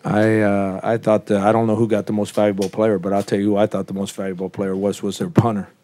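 A man fielding reporters after a loss, speaking low and mellow. he talks plainly and bluntly, with a few uh's as he works out each answer. it sounds like a head coach at a postgame podium. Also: flat delivery. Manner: measured, plain-spoken, blunt with fillers. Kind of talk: postgame press conference answers.

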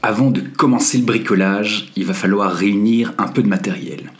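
Man, low voice, casual step-by-step tutorial voice-over, soft music underneath. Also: normal speed. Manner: relaxed, friendly, mixes slang with instructions. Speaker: tutorial narrator. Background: faint music.

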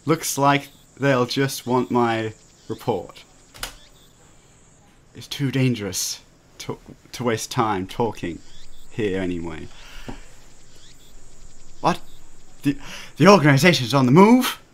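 A man's voice: medium pitch, lively intonation, takes it slowly, pauses often, slight background noise. Pompous paranoid young mad scientist played by a man. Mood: secretive. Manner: pompous, melodramatic.

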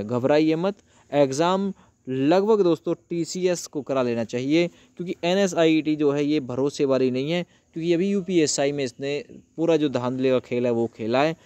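A man's voice: medium pitch, a little mellow, no room sound. A man hosting an informal update for viewers, explaining in a familiar way and repeating phrases for emphasis. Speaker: host of an exam update channel. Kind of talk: informal explainer talk to viewers about exam news.

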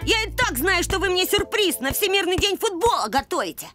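A very high, swooping cartoon voice of a cheeky little boy dog. He is excitable and boastful, always ready to tease. Music plays behind him. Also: fairly quick, full character voice. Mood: playful, excited. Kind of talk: animated cartoon acting.

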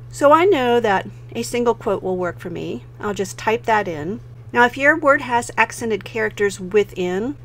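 A woman with an American accent presents a software tutorial as a voice-over, explaining clearly step by step. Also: mid-range voice. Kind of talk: instructional screen-recording narration.

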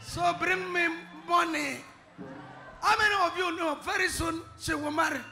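A man's voice: extremely high pitch, normal speed, vocal fry, background noise. A man preaching and exhorting a congregation on a handheld mic in a large church hall. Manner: exhorting, shouting to the crowd. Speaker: pastor. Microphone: handheld microphone.

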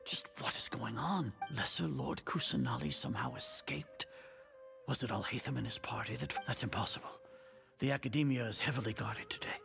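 Azar, a gravelly old sage. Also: male voice, medium pitch, a bit bright. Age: older. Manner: pompous, suspicious, muttering to himself. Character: elderly male grand sage, proud scheming scholar.

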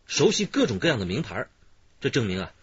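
A man's voice: medium pitch, wildly swooping pitch, fairly quick, husky, exaggerated voice. An actor playing a keen, observant male police investigator in a radio drama. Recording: dull lo-fi radio drama recording.

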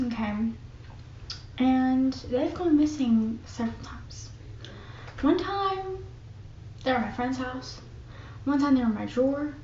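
Young woman, high voice, unhurried rambling chatter, mock-dramatic, boomy bedroom, dull phone audio with hiss. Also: darkish tone, reverberant. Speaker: vlogger. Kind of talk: casual clean-with-me vlog chatter. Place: carpeted bedroom, indoors. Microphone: phone camera set across the room.

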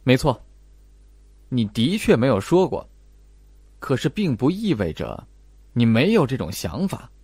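Man voicing a calm, probing detective, dry close sound. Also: medium pitch, a little slow, pauses often, breathy edge, no echo, exaggerated voice. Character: calm, probing detective laying out his deductions. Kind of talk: audiobook character acting.

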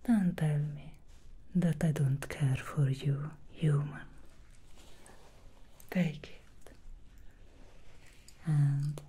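A woman voicing an alluring, scheming female demon hairstylist in an ASMR roleplay, speaking in a slow seductive whisper. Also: low-pitched, fairly even intonation, dark tone, slight background noise. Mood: sly whisper.

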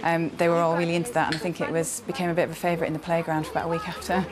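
A woman's voice: fairly low pitch, monotone, racing, very noisy. A British woman, a primary school teacher, giving conversational interview answers.

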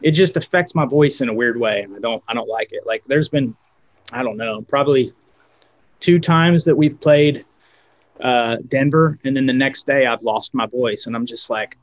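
A man's voice: mid-range voice, moderate pace, highs cut off. Hesitant man on a video call. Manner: hesitant, many fillers and restarts. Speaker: guest guitarist. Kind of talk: casual video-call interview.

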